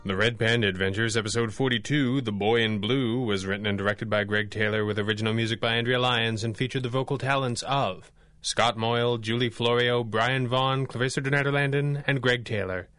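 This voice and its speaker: A man's voice: medium pitch, steady tone, fairly quick, fairly bright tone, no room sound. A man reading scripted credits for a radio drama as its announcer. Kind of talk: brisk scripted credits narration.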